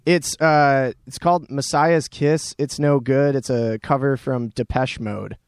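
A man's voice: medium pitch, fairly even intonation, clean tone, no echo, clean studio sound. A young man hosting a college radio show, chatting casually and rambling with lots of fillers. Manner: quick, rambling, filler-heavy. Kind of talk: casual on-air chat. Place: radio studio. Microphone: studio mic.

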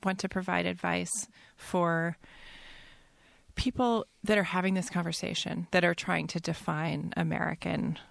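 A woman's voice: lowish voice, steady tone, a little slow, creaky, no echo. A woman hosting a conversational interview show about writing. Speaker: host of a writers' interview show.

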